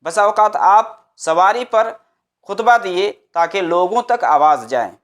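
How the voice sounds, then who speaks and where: A man's voice: sits high, animated, measured pace, a bit bright. A man giving an Islamic religious lecture, explaining step by step and repeating phrases for emphasis. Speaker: Islamic preacher. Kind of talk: religious lecture with scripture quotations.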